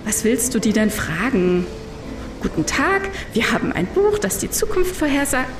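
A woman's voice: a little high, sing-song, moderate pace. A woman playing a sceptical, wry and hesitant travel companion in a sci-fi audio drama. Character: sceptical, wry woman friend reluctantly joining an adventure. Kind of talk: German science-fiction audio drama. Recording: roomy, distant mic, background noise.

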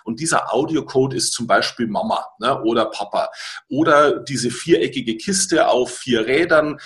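A man's voice: medium pitch, moderate pace, a bit bright. German man explaining in a webinar. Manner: didactic, repeats key words for emphasis, checks in with "ne". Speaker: language-learning coach and webinar presenter.

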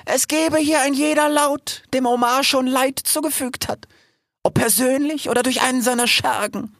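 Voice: high-pitched, steady tone, moderate pace, a bit bright, no echo, clean studio sound, pitched above the performer's own voice. A man voicing a bold, massive female hyena rabble-rouser in a storybook, theatrical, taunting and cackling. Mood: agitating.

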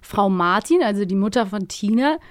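A woman's voice: medium pitch, animated, moderate pace, no echo. Casting: female podcast host, mid-range voice, casual and playful, talking in a dry studio sound. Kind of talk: casual chat about radio plays.

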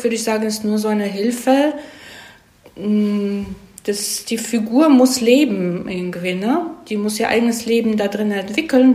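A woman speaks as an interviewed screenwriter in a roomy, reverberant space. Her voice sits at a medium pitch. She explains things in a casual, thoughtful way and often tacks a short checking question onto her sentences. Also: a little mellow. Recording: echoey room.

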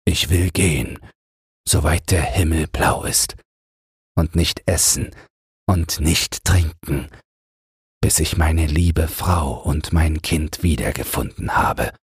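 A man's voice: extremely low pitch, slow, husky, no room sound, clean studio sound, full character voice. A man voicing a sorrowful, weary, devoted king in a fairy tale audiobook. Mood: grieving, resolute.

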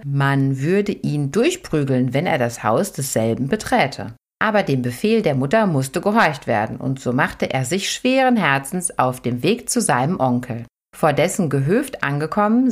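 A woman podcast host reading an old folk tale aloud in a lively, expressive storytelling manner. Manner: lively, expressive read-aloud with sudden high lifts. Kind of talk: storybook narration.

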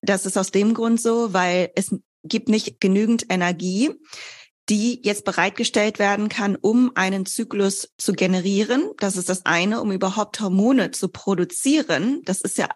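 A woman's voice: mid-range voice, moderate pace. A woman in her twenties, a fitness coach, explaining things conversationally as an interview guest. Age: 20s.

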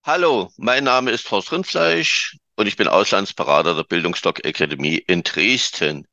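A man hosting an educational podcast, introducing and questioning his guest in a formal, measured way. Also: deep voice, vocal fry, pushed and bright, muffled recording. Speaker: podcast host, exchange advisor. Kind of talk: podcast interview.

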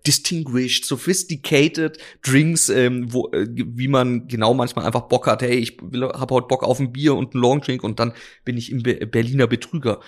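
A man's voice: medium pitch, steady tone, moderate pace, few pauses. A man, a drinks expert and interview guest, talking casually and rambling with many fillers and restarts. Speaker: drinks brand ambassador, guest.

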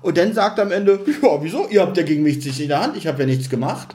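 A man's voice: on the high side, animated, quick pace, darkish tone, slight background noise. A man hosting a casual review chat, speaking in a critical, argumentative way. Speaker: podcast host.

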